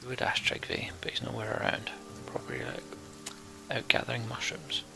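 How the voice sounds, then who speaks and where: A man's voice: medium pitch, big pitch swings, normal speed, gravelly, over music. A man narrating a fantasy game's story text aloud as a let's play host.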